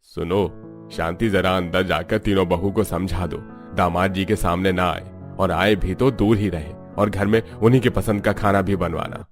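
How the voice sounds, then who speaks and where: Guy doing grumbly old-fashioned indian sasur, older, lowish voice, cartoon story, soft music behind. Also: steady tone, normal speed, close to an everyday voice. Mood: instructing. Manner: disapproving, authoritative. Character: conservative, grumbling older Indian father-in-law. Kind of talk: Hindi cartoon story acting.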